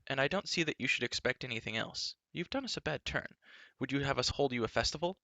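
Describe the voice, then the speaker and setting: A man's voice: medium pitch, expressive, fast talker, pushed and bright, no room sound, pitched above the performer's own voice. A man voicing a weary bard who grumbles wryly. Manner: wry, grumbling.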